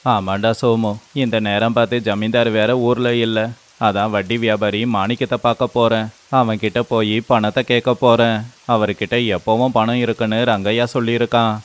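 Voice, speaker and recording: A man's voice: fairly low pitch, little pitch movement, normal speed, smooth, natural voice. A man playing a poor, humble village labourer and devoted husband in a moral story, with a pleading, deferential manner. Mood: worried. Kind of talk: dramatized moral story voice acting.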